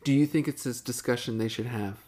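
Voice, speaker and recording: A man's voice: mid-range voice, fairly quick, darkish tone. A man co-hosting a casual podcast, chatty and enthusiastic, often correcting himself mid-sentence. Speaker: podcast co-host.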